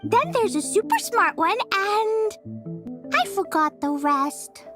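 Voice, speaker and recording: A tiny, extremely high little girl's voice, swooping all over in pitch. She is a cheerful, eager, naive young witch in a game, with faint music underneath. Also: very animated, takes it slowly, heavily acted. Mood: thinking aloud. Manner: childlike, eager, simple. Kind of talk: animated game dialogue.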